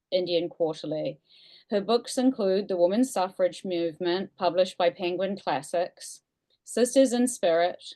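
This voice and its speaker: A woman with a New Zealand accent introduces a guest speaker at an online museum event. Her voice sits fairly low, and she speaks politely, with plenty of ums and uhs. She is heard over a video call. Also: a little mellow. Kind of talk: formal introduction to an online talk.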